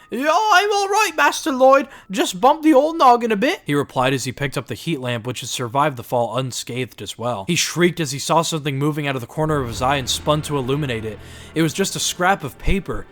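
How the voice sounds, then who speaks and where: A man narrates a scripted story in a dramatized style. His voice sits at a medium pitch. Music plays clearly underneath the narration. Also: fairly bright tone.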